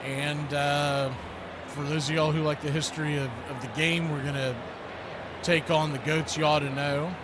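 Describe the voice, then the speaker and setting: A man's voice: on the high side, level tone, normal speed, noisy background. An American man hosting a live football watch party, chatting casually and in a folksy way as he previews the game.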